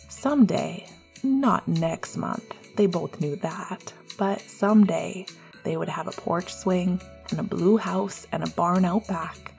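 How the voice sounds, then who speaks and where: Female narrator for romance fiction: low, husky, gentle and intimate, faint music underneath. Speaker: amateur audiobook narrator. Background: soft music.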